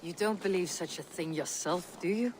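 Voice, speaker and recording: A woman's voice: on the low side, normal speed, background noise, lightly acted. Blunt, stoic warrior woman played by an actress. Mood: skeptical, firm. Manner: blunt, no-nonsense. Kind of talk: video game cutscene acting.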